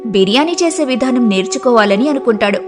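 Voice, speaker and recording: High-pitched woman narrating a story. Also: expressive, quick pace, music in the background.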